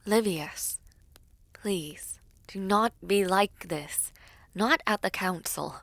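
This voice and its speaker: A woman playing a composed, caring young princess in an audio drama, soft and earnest, often slipping into a breathy hush. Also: mid-range voice, slow, no room sound, full character voice. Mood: pleading, firm. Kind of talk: audio roleplay drama acting.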